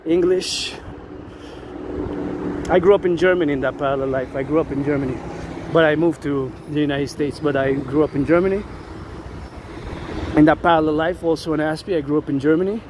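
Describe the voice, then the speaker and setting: Higher-pitched man rambling over loud traffic noise. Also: steady tone, brisk, pauses often. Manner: repeats words and phrases insistently. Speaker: vlogger. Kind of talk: rambling outdoor monologue. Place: outdoors near a road with vehicles. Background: passing vehicles, urban ambience.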